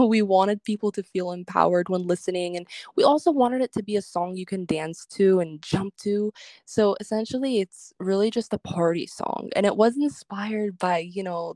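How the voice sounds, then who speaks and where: A woman's voice: mid-range voice, darkish tone, no echo. Woman guest, chatty and rambly, lots of likes and ums, dry close recording. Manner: chatty, loose, with many fillers and restarts. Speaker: guest singer and social media creator. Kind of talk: casual interview answers.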